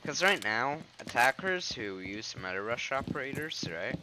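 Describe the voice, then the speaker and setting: A man's voice: mid-range voice, very animated, normal speed, noisy background. A young man giving casual, rambling commentary over gameplay, sounding a bit congested. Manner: rambling, filler-heavy, pointing at footage. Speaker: gaming commentator. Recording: game sounds and gunfire behind.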